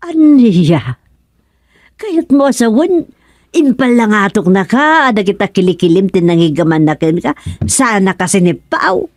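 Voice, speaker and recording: A woman's voice: medium pitch, sing-song, a little slow, no echo. A dry, close recording of a chatty village woman in an Ilocano radio comedy-drama. She has an Ilocano accent and a mid-range voice. She fusses, exclaims and asks questions in a gossipy, concerned way. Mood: inquisitive.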